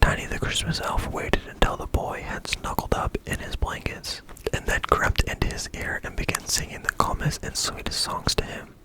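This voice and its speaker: A storyteller reading a bedtime story in a soft whisper and adding dry asides. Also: normal speed, few pauses, low-quality recording.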